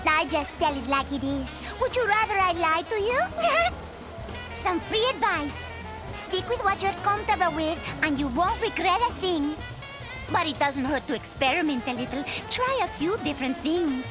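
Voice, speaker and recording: Super high perky shopkeeper girl from a game, teasing and sugary, music under her. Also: expressive, moderate pace. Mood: playfully pushy. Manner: sugary, teasing sales patter. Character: perky, blunt, money-minded female merchant. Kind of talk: video game character acting.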